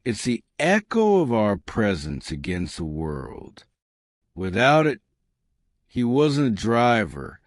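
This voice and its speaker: Slow, low-voiced man narrating a solemn story. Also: huge pitch swings, no echo, clean studio sound. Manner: solemn, deliberate. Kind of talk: dramatic scripted story narration.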